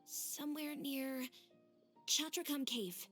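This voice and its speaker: A woman's voice: high-pitched, slow pace, a bit bright, faint music behind. An actress voicing a hesitant, helpful young woman in a video game dub.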